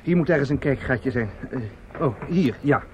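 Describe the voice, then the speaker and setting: A man's voice: medium pitch, moderate pace, a little mellow, lo-fi sound, slight background noise, natural voice. An actor in a radio drama playing a flustered, self-satisfied male opera impresario, muttering and hesitant or pompously speechifying.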